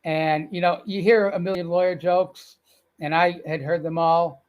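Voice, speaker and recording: A man's voice: fairly high pitch, fairly even intonation, measured pace, lo-fi sound. An older man with a Boston accent, telling stories as a guest in a casual upbeat interview. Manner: folksy, upbeat storyteller.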